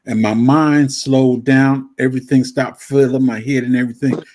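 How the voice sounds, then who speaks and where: A man in his fifties, a guest on a live stream, telling stories in a relaxed way and often repeating himself. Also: medium pitch, normal speed, a little hiss or hum. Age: 50s. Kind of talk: casual chat.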